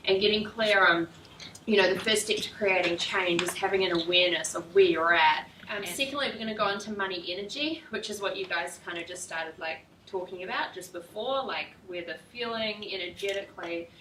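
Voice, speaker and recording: A woman's voice: mid-range voice, a little fast, bright, forceful tone, slight background noise. A young woman entrepreneur talking in a casual vlog and teaching a workshop, upbeat and gushing with lots of fillers. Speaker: entrepreneur and speaker. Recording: echoey, distant from the mic.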